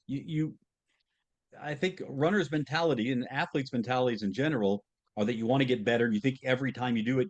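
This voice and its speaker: A man hosting a podcast interview, asking long, hesitant questions full of fillers and restarts, and laughing easily. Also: mid-range voice. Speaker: podcast host.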